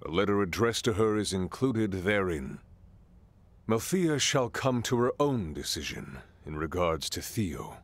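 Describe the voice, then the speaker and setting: A solemn, fatherly monarch from a fantasy game. His voice sits low and moves slowly through formal, deliberate phrases, with wide rises and falls that give it authority. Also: very animated, exaggerated voice. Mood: grave, measured. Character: solemn, regal king and father, measured and authoritative. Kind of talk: video game cutscene acting.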